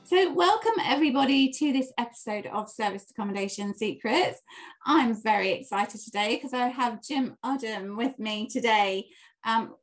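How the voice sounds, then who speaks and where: A woman hosting a podcast interview, upbeat and welcoming. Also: medium pitch. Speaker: podcast host.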